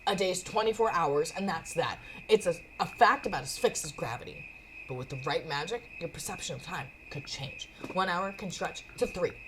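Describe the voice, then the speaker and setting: A woman's voice: fairly low pitch, expressive, moderate pace, background noise, natural voice. A woman voicing a cocky, flirty, sporty woman potion maker in a visual novel, brash and teasing. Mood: excited. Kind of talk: visual novel character dialogue. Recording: faint music behind.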